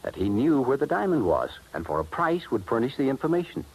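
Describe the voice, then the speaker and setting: An actor playing a touchy, desperate schemer in a 1950s radio drama, speaking in a halting, earnest way. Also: male voice, mid-range voice, very animated, darkish tone, rough recording. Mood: uneasy, earnest. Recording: vintage radio, faint background noise.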